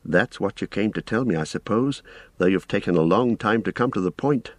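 Earnest impatient young hobbit, read by a man; low, quiet, direct, dry sound. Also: a little fast, no room sound, lightly acted.

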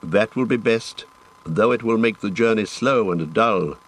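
A man's voice: on the low side, unhurried. A man reading an audiobook, voicing a male ranger who leads the company, firm and commanding.

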